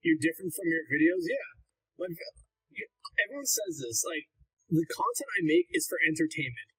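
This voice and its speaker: Young male streamer with a husky, slightly high voice. Blunt and rambling, working through chat questions one by one. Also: lively intonation, normal speed, a bit bright, rough recording. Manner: blunt, rambling, heavy use of "like". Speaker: livestreamer answering chat. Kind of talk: casual livestream Q&A.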